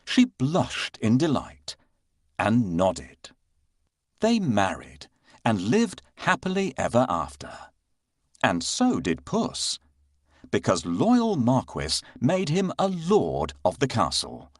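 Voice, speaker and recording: A man narrating a children's bedtime story in an expressive storytelling manner. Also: mid-range voice, takes it slowly, no room sound, studio quality.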